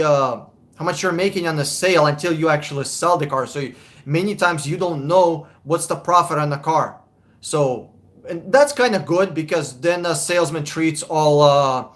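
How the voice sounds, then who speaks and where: A young man from Russia, hosting a casual live Q&A about buying cars, blunt and chuckling as he swears. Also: fairly high pitch. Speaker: car buying advisor, livestream host. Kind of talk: live-stream Q&A with chat.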